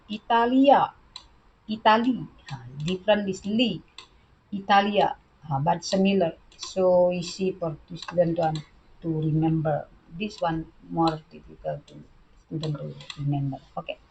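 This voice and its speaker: A woman teaching a language lesson, speaking halting English and repeating words as she explains. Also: lowish voice, darkish tone, slight background noise, rough recording.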